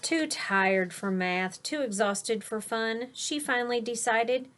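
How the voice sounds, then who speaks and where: A woman reading a children's picture book aloud expressively, stressing key words and pausing to explain things simply for young listeners. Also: fairly high pitch, fairly bright tone, slight background noise. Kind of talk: storybook read-aloud with simple explanatory asides.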